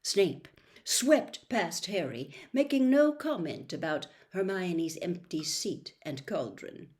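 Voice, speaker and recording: A woman with a fairly low voice narrates a storybook. She takes her time, phrasing each sentence deliberately and with dramatic expression. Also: expressive, a little mellow. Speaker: audiobook reader, host of the show.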